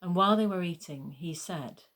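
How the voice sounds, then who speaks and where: Low-pitched woman narrating scripture. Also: moderate pace, a bit bright. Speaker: gospel narrator.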